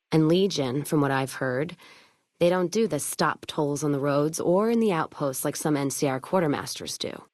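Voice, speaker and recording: Tough wasteland caravan woman: low voice, blunt, wry, hard-drinking game character, close dry recording. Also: darkish tone, no room sound. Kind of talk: video game character dialogue.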